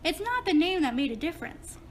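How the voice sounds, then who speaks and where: Woman as an earnest, high-voiced girl puppet. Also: very animated, fairly quick, slight background noise. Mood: matter-of-fact. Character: matter-of-fact, questioning young girl puppet named Kate. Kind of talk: children's puppet show dialogue and explanation.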